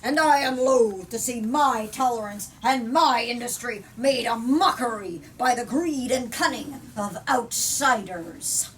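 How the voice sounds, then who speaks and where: A woman's voice: on the high side, unhurried, few pauses, a bit bright. A woman voicing a pompous, wealthy male politician making an indignant, declamatory speech in a storytelling reading. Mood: pompous indignation. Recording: distant mic, clearly noisy room.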